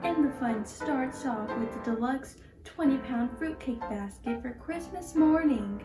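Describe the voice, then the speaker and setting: A woman announcer giving an excited sales read in an old-time radio advert style. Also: high voice, unhurried. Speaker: commercial announcer. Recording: echoey room, distant mic. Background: instrumental music.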